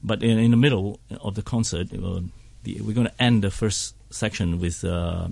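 A man with a lowish, gravelly, husky voice, whose first language is Hokkien. He talks as a radio guest, pausing often with fillers and breaking into chuckles. The studio sound is close and dry. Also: fairly even intonation, darkish tone, dry recording. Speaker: choir director and singer, studio guest. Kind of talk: casual radio interview.

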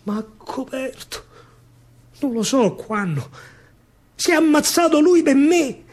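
A man's voice: extremely high pitch, expressive, slow pace, a little mellow. A man with a Roman accent performing a dramatic, rhythmic verse monologue as a soldier recounting a battle. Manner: declamatory, rhythmic, theatrical. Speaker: storyteller actor. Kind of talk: dramatic verse monologue with live music, radio theatre. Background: music played live.

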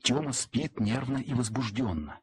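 A man's voice: mid-range voice, lively intonation, normal speed. A man narrating a literary children's story in a dramatic audio play style.

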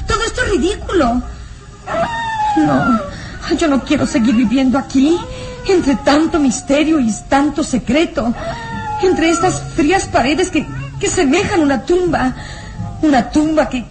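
Anxious high-voiced young heroine. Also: female voice, big pitch swings, unhurried, long pauses, low-quality recording. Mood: distressed, defiant. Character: anxious young noblewoman, fearful yet defiant heroine. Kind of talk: old radio serial melodrama.

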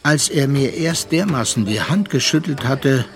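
A man's voice: mid-range voice, expressive, close to an everyday voice. Actor as reflective male storyteller over music. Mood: reflective, melancholy. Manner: measured, contemplative. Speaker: narrator. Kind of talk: literary radio play narration.

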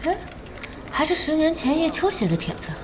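A woman playing a feisty, impatient internet cafe boss in an audio drama, blunt and expressive. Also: sits high, fairly quick, slight background noise. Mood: curious. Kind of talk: Chinese audio drama acting.

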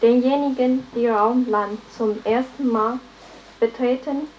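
Actress as a courteous higher-voiced spaceliner stewardess. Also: female voice, fairly even intonation, a little slow, lo-fi sound, slight background noise, lightly acted. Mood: courteous, formal. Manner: formal, polite announcing. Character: courteous female spaceliner flight attendant making announcement. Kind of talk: 1970s radio drama, cabin announcement.

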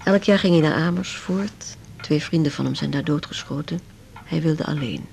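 An actress playing a lonely, brooding older widow in a radio drama, speaking in a halting, confiding way. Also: female voice, low-pitched, vocal fry, a little mellow. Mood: troubled, melancholy. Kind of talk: naturalistic Dutch radio drama acting. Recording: faint background noise.

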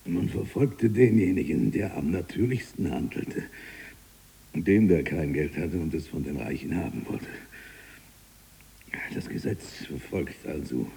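An actor playing an imprisoned freethinking writer, mocking, obsessive and eloquent, with a husky, gravelly voice, in a theatrical radio drama monologue. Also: male voice, very deep voice, lively intonation, measured pace, pauses often, mellow, heavily acted. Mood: bitter lecturing. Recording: very reverberant hall-like space.

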